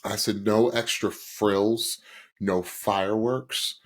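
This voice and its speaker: A man's voice: on the low side, flat delivery, slow. A man co-hosting a podcast, giving casual reaction commentary in a gushing way and repeating words for emphasis. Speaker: podcast co-host.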